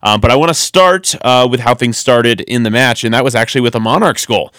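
A man's voice: mid-range voice, brisk, fairly bright tone, dry recording. A man co-hosting a sports podcast in a radio studio, chatting casually and rambling through lots of ums and restarts. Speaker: podcast co-host.

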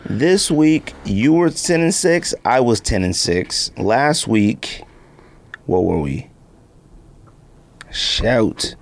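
A man's voice: medium pitch, lively intonation, drawn-out words, long pauses. A man co-hosting a sports podcast, chatting and debating casually with a questioning, incredulous manner.

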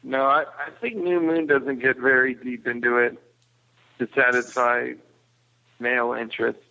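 Over a thin phone-like connection, a man with a gravelly, creaky voice speaks at a middle pitch. He is a podcast guest chatting casually, often rephrasing himself as he goes. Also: level tone, measured pace. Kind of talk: casual discussion. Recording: narrow, tinny phone-like line.

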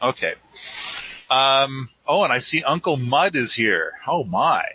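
A man's voice: mid-range voice, big pitch swings, measured pace, pushed and bright, breathy edge, lo-fi sound. A man hosting a live podcast in a rambling, casual, self-deprecating way. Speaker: podcast host.

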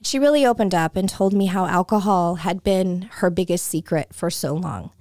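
A woman talks through her solo podcast in a loose, conversational way. Her voice is hoarse and keeps fading in and out. The sound is dry and close. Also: fairly low pitch, normal speed, a little mellow, no echo. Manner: chatty and conversational, with frequent restarts. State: hoarse, voice coming and going. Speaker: podcast host. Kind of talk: casual solo podcast talk.

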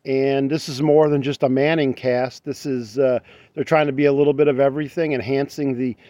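A man hosting an interview, asking halting questions with many fillers. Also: medium pitch, flat delivery, warm tone, dry recording, studio quality. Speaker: interviewer host.

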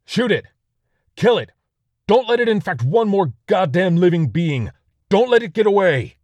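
A furious, commanding officer barks orders and shouts at full force, his voice pushed much higher and louder than normal, as a man plays him. The recording is dry and close, with no room sound. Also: sing-song, moderate pace, dry recording. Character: furious male military officer in hazmat gear.

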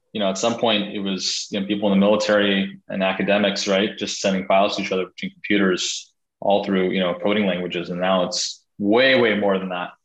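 A man's voice: lowish voice, a bit bright, reverberant, lo-fi sound. A man, a startup founder, answering interview questions over a video call in a thoughtful way with many fillers.

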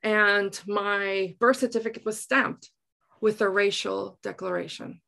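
A middle-aged woman from South Africa with an Afrikaans accent, telling personal stories reflectively in an earnest way, often halting to search for words. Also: mid-range voice, fairly even intonation, gravelly, bright, forceful tone. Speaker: interview guest, writer. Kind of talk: reflective personal storytelling in conversation.

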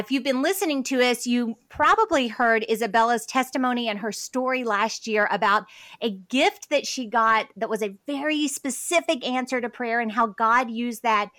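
A woman's voice: fairly high pitch, a bit bright, no room sound, clean studio sound. A woman in her forties hosting a faith podcast, earnest and enthusiastic, with fillers and restarts as she talks. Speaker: podcast host. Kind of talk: conversational interview and sponsor read.

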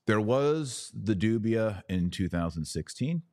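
Low-voiced man, emphatic religious commentator. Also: lively intonation, measured pace, darkish tone, dry recording, studio quality. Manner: emphatic, didactic, asks and answers own questions. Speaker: podcast host. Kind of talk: religious commentary podcast, explaining to listeners.

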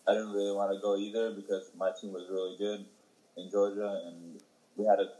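Low, flat-voiced young man. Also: low-quality recording. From Ethiopia. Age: young adult. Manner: halting, many fillers and restarts. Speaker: interviewee, young soccer player. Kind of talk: casual interview answers.

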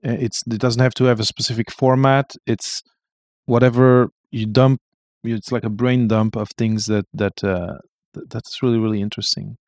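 A man's voice: mid-range voice, steady tone, normal speed, darkish tone, no echo, clean studio sound. Hesitant male interview host. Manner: hesitant, many restarts and fillers. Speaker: podcast host. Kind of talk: live-streamed interview.

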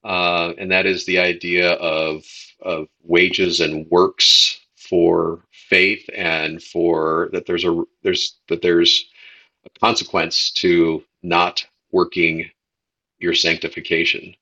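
Deep-voiced American man hosting a podcast. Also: little pitch movement, takes it slowly, sharp tone, dull audio. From United States. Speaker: podcast host. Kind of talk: casual religious discussion.

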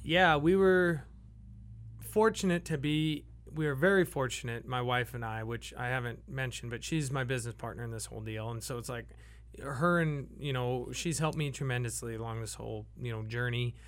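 A man's voice: medium pitch, fairly even intonation, normal speed. A man appearing as a guest on a radio talk show in a studio, answering briefly and casually, often just murmuring agreement. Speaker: guest business owner.

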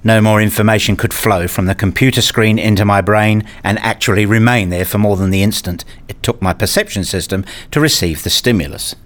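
A man's voice: fairly low pitch, steady tone, fairly quick, hardly any pauses, natural voice. A man playing a wry, bookish academic who narrates and talks in a radio play, with dry, wordy scripted delivery. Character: wry male academic narrating his own puzzled days. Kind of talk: scripted first-person narration and dialogue.

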